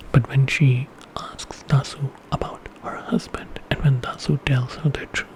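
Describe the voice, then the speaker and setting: A man telling a bedtime story in ASMR style, in a breathy whisper and an informal, conversational way. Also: medium pitch, level tone, a little slow, a little mellow, poor audio. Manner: informal retelling with quoted dialogue.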